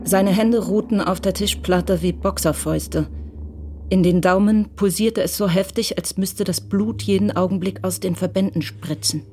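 A woman giving scripted, measured narration for a crime radio drama. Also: medium pitch, steady tone, dark tone, slight background noise. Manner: measured, composed storytelling. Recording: clear music underneath.

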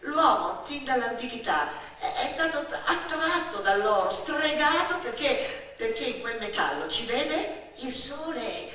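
Bright woman storyteller, very echoey. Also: high-pitched, unhurried, few pauses. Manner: animated, emphatic, builds by repetition and lists. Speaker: stage actress and storyteller. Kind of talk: theatrical narrative monologue. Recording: very echoey theatre-like space, distant mic.

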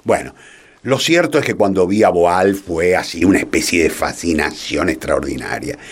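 A man's voice: sits low, moderate pace, slight background noise. An older man from Argentina with a Rioplatense accent, telling anecdotes casually in a self-deprecating, digressive way. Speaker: interview guest, theatre playwright.

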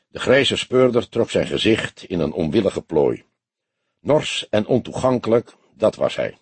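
A man giving an expressive scripted narration of a detective novel. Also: on the low side, slightly rough, dry recording. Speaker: audiobook narrator.

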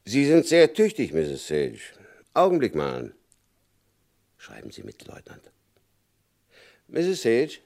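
An actor playing a stern middle-aged FBI agent who gives clipped, commanding orders in an old radio crime play. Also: male voice, mid-range voice, wildly swooping pitch, slow, gravelly, pauses often, a little mellow, exaggerated voice. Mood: brisk.

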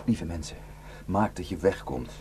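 A desperate, guilt-ridden defector in a radio drama. His voice sits fairly low and moves between halting confession and bitter, intense warning. A faint hum and noise sit behind him. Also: lively intonation. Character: haunted, guilt-ridden Kurdish male defector, desperate to warn villagers. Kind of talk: Dutch radio drama acting. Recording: noisy radio play recording with hum.

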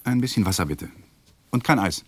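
An actor playing a lawyer and husband with a quiet, restrained, husky manner in a radio play. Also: male voice, on the low side, expressive, moderate pace.

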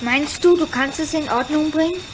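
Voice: high voice, moderate pace, background noise, natural voice. A performer playing an eager, anxious, earnest young boy in a German radio play. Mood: worried, curious.

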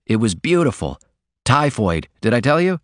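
A man reading aloud and voicing a boozy, excitable male laundry worker with a loud, rough drawl. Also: mid-range voice, moderate pace, no echo, studio quality, natural voice. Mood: rambling.

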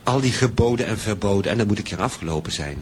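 An impatient, wry thriller writer played by a man with a lowish voice. He is insistent and repeats himself as he goes, in radio play style, with clearly noisy ambience. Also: expressive, fast talker, close to an everyday voice. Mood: scheming.

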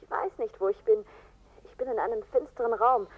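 A woman's voice: a little high, a little fast. An actress playing a frightened young kidnapped woman, heard through a telephone filter in a 1960s German radio drama. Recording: tinny, husky-sounding phone line.

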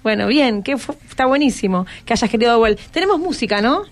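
A woman's voice: fairly high pitch, lively intonation, brisk. A woman with an Argentine accent casually interviewing guests as a radio host. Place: radio studio.

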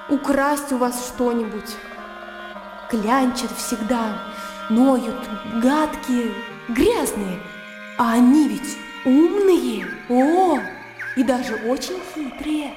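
An actress playing a naive, blunt young country gentlewoman in a Russian radio drama, opinionated and emotional. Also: high-pitched, expressive, slow. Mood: scornful, heated. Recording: roomy long reverb with music behind.

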